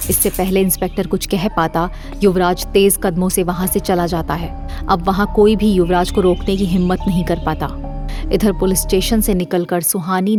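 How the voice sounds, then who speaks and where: A woman narrates a scripted horror tale. Her voice is on the low side and very even in pitch. Soft music runs behind the reading.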